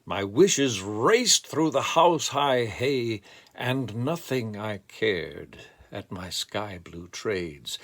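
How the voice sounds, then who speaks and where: A man with a gravelly voice speaking in a deliberate, measured way, as a poetry reader reflecting and reciting expressively. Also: mid-range voice. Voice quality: gravelly, creaky voice.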